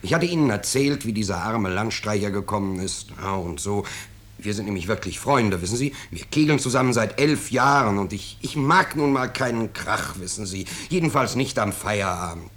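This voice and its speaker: A self-justifying, anxious bourgeois man of middle age in a 1950s radio play. His voice is low and husky, and he talks in a blustering, defensive, insistent way. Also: animated, moderate pace, a bit bright. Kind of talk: 1950s radio drama acting.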